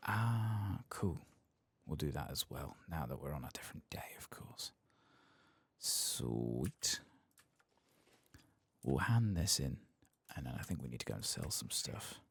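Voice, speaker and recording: A soft-spoken man doing ASMR commentary on a video game, sometimes dropping into a whisper, with a husky, breathy voice. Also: extremely low pitch, big pitch swings, measured pace. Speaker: game streamer narrating a playthrough.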